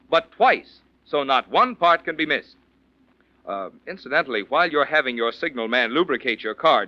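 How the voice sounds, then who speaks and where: A man doing polished, emphatic radio announcing and sponsor pitches in a 1940s style. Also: pushed and bright, no echo. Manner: polished, emphatic sales delivery. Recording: dull, dry 1940s radio recording.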